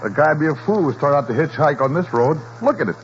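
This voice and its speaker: A man's voice: a little high, lively intonation, quick pace. A folksy country gas station attendant, played by a man, talks in an easy drawl. The sound is dull and lo-fi with a faint hiss behind it. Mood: easygoing. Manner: folksy, drawling, plain-spoken. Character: laconic rural male gas station attendant. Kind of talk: radio drama acting.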